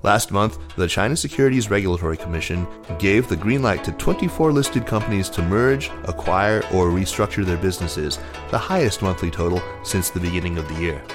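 Low-voiced man presenting business news. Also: fairly quick, music in the background. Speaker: podcast host and news presenter. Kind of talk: scripted news reading and interviewing.

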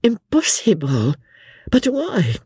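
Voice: mid-range voice, wildly swooping pitch, takes it slowly, darkish tone, husky, no room sound, clean studio sound, voice pushed up, full character voice. An audiobook reader voicing an earnest French countess, a bewildered mother who sighs and pleads. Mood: surprised. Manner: sighing, pleading.

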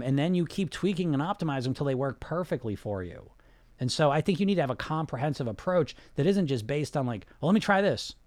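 A man's voice: medium pitch, darkish tone, no echo. A man hosting a podcast as a weight-loss coach, talking in a casual, emphatic way with lots of "you know" fillers. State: sniffling. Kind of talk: casual monologue.

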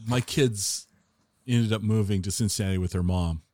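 A man's voice: on the low side, moderate pace, darkish tone, no room sound, studio quality. A middle-aged American man hosting a podcast and chatting casually with a guest. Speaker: podcast host, interviewer. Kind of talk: casual chat.

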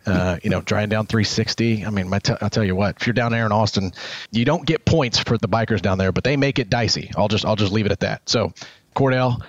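A man's voice: lowish voice, a little fast, no room sound, highs cut off. A man hosting an interview podcast, talking casually and rambling, often repeating words and adding folksy asides. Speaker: podcast host.

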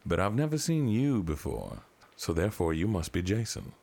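A man's voice: low-pitched, wildly swooping pitch, normal speed, gravelly, darkish tone, full character voice. A man playing a formal, self-assured heir to a governor in an audio drama, speaking with pompous polish. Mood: composed.

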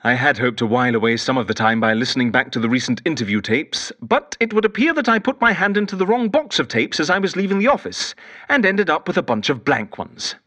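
Male actor as lonely, theatrical British interviewer in an audio drama monologue; very animated intonation, dry sound. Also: a little high, quick pace, dry recording, full character voice. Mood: flustered. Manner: theatrical, rambling, whimsical. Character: eccentric, chatty, lonely male interviewer, quarantined in a bunker. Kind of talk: audio drama monologue, talking to a tape recorder.

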